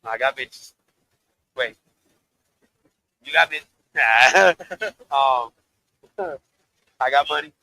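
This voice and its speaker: Deep-voiced rapper with a gravelly, bright edge. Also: male voice, drawn-out words, long pauses, dry recording, poor audio. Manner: loose, laughing, swears freely. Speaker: rapper guest. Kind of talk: casual interview chat.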